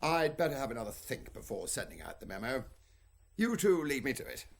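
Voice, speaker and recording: A man's voice: medium pitch, big pitch swings, a little fast, vocal fry. An actor playing a polite, male broadcasting executive with a clipped, genteel manner. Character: plummy upper-class male broadcasting executive.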